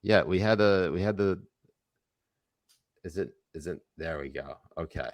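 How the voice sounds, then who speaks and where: A deep-voiced man co-hosts a livestreamed podcast, teasing and joking crudely with his co-host. His sound is close and dry, with no room around it. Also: unhurried, no room sound. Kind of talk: crude comedic banter.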